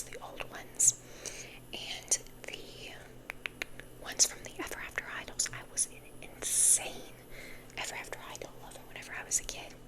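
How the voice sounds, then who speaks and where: Whispering young woman, soft ASMR ramble. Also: rough recording. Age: young adult. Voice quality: whispered, breathy unvoiced voice. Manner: soft, repeats phrases slowly, chatty over-explaining. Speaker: ASMR content creator.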